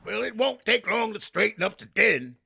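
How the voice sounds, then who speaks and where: A middle-aged man playing a sly, loyal male valet in a recreated 1950s radio comedy, with a drawling, gravelly comic delivery. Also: high-pitched, lively intonation, moderate pace, bright, forceful tone, a little hiss or hum, exaggerated voice.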